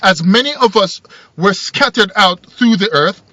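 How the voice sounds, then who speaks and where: A man's voice: sits high, lively intonation, a little slow, bright, forceful tone, no echo. A man in his forties who grew up in Jamaica, musing aloud to camera from inside a parked car, rambling and repeating himself as he thinks. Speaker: video commentator. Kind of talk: casual monologue to camera. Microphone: phone or camera on the dashboard. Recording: dull lo-fi sound in a car.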